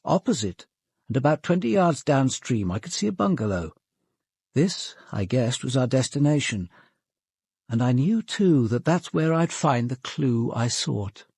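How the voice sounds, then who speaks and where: An actor with a British accent playing a senior police detective in a radio drama, measured, formal and probing. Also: male voice, medium pitch, expressive, a little mellow, slightly rough, dry recording, clean studio sound. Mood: reflective. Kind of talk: British radio drama acting and first-person narration.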